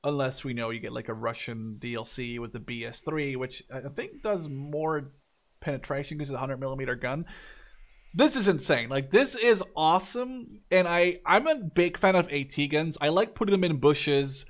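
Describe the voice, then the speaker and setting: A man's voice: a little high, animated, normal speed. A man in his twenties giving casual, enthusiastic game commentary, informal and swearing freely. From Canada or Netherlands, Dutch. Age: 20s. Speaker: gaming video creator.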